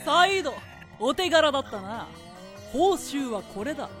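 Woman playing a very high, swooping, sly museum boss. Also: very animated, a little slow, a bit bright, heavily acted. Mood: cool, sly. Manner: lofty, amused, commanding. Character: sly, self-assured, teasing museum boss. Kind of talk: radio drama acting. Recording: studio drama with background music.

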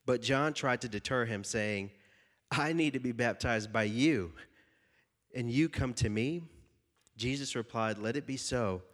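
A man's voice: mid-range voice, unhurried, fairly bright tone. A young man with a stuffy, slightly nasal voice from a cold, preaching casually and jokingly to a youth group and reading scripture aloud. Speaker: youth pastor.

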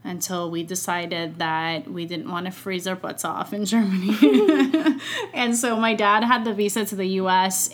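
A woman's voice: mid-range voice, a bit bright. A woman in her thirties, a coach born in Iran, chatting casually and upbeat as a podcast guest. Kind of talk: casual interview chat.

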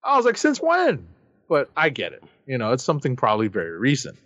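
A man's voice: mid-range voice, fast talker, no echo, muffled recording. A man co-hosting a comic book podcast, running through new issues and their credits in a casual chatty way. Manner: lively, reads credits then chats. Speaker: podcast co-host.

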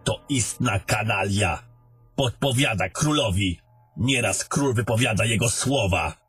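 Guy doing old wizard mentor for a fantasy audio play, low pitched, grumpy n sardonic, bit of music under it. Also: a little slow, a bit bright, breathy edge, heavily acted. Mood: sardonic, grumbling. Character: old haughty sardonic male wizard, gruff mentor to a soldier. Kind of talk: fantasy audio drama acting.

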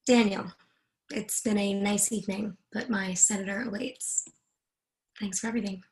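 A woman's voice: mid-range voice, fairly even intonation, moderate pace, lightly acted. A woman playing a seductive, controlling married woman in a screenplay read, teasing and commanding by turns. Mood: imperious, dismissive.

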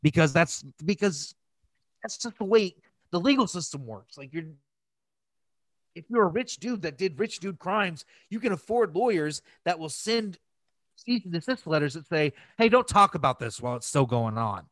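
A man's voice: on the high side, lively intonation, normal speed, fairly bright tone, dry recording. A man hosting a casual podcast, ranting in an exasperated, opinionated and profane way. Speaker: podcast host.

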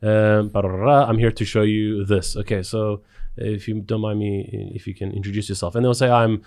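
A man's voice: lowish voice, flat delivery, moderate pace, gravelly, a little mellow. A man with a South African accent explaining sales in a conversational way, acting out bits of example dialogue. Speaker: sales expert, interview guest.

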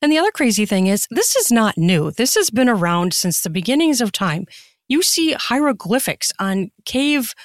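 A woman's voice: on the high side, sing-song, normal speed, dry recording. Candid woman guest, dry close recording. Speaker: podcast guest, writer and podcaster. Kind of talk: casual interview chat.

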